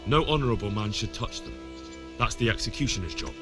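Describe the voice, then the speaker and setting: An actor playing an earnest, honest young village lad in a medieval video game, speaking plainly and with principle. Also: male voice, lowish voice, lively intonation, a little fast, music in the background, natural voice. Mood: wary, appalled. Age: young adult. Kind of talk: video game dialogue acting.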